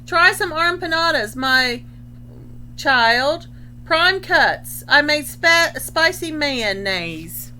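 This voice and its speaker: A woman's voice: high voice, lively intonation, extremely slow, pushed and bright, a little hiss or hum, exaggerated voice, low-quality recording. A woman voicing an eager, firm zombie parent from a children's storybook, exclamatory and emphatic. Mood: eager, hospitable.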